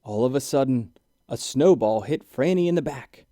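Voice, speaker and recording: A man reading a rhyming children's storybook aloud in an expressive, deliberate way. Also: mid-range voice, no echo, studio quality. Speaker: children's book reader. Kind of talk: animated scripted read-aloud of a rhyming storybook.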